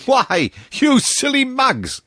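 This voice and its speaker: A man voicing a jovial, boisterous young male doctor, loud and exclamatory. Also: fairly high pitch, sing-song, a little slow, dry recording, clean studio sound, voice pushed up, exaggerated voice. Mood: mocking glee.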